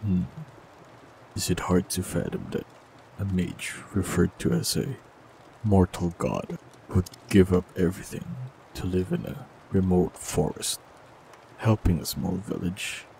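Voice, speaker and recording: A man with a very deep, gravelly voice speaks calmly and gently into a close mic, with a soft teasing edge. He performs a scripted fantasy audio roleplay. A faint hiss sits under the recording. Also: unhurried, low-quality recording. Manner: calm, gentle, softly teasing.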